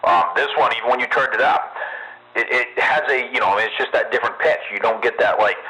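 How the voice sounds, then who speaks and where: A man's voice: medium pitch, brisk, pushed and bright. A man chatting casually on CB radio in a rambling, technical, sweary way. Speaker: amateur CB radio operator. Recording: noisy CB radio audio with static.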